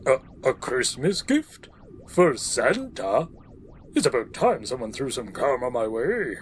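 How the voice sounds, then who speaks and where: Old Santa, jolly yet worn out, drawn-out delivery with huge swoops, comic radio play. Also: male voice, medium pitch, sing-song, soft background music. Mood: delighted. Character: jolly, weary, slightly sour old Santa Claus. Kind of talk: comic audio drama acting.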